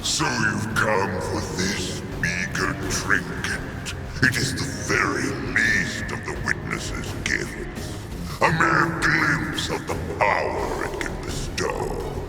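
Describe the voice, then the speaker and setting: An actor playing a huge, gloating alien emperor villain in a video game, pompous and theatrical. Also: male voice, on the low side, very animated, slow pace, gravelly, exaggerated voice. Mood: tempting, gloating. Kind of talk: dramatic video game voice acting. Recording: loud music behind.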